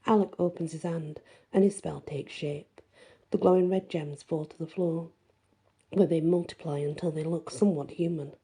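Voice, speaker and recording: A woman's voice: low-pitched, steady tone, moderate pace, dark tone, slightly rough. A woman storyteller reading scripted first-person fantasy narration in an ASMR style.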